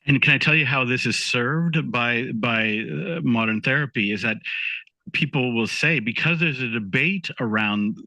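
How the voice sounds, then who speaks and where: Bright-voiced man co-hosting a podcast. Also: medium pitch, normal speed, gravelly, dry recording, rough recording. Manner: thoughtful, laughs easily, restarts sentences. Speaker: podcast co-host. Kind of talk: casual podcast conversation.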